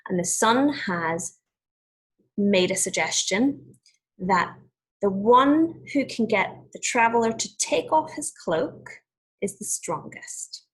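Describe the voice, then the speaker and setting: A woman with a very husky, breathy voice reads a fable as a teacher would for young children. Her delivery is expressive and deliberate. She explains as she goes and puts questions to the listener. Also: mid-range voice, poor audio.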